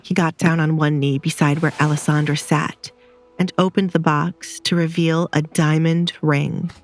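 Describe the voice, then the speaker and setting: Low-voiced woman narrating an audio drama. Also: little pitch movement, unhurried, soft background music.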